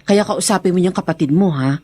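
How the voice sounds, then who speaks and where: A woman playing a suspicious, impatient and sharp-tongued wife in a melodramatic radio drama. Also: lowish voice, brisk, husky, no echo. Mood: suspicious, insistent.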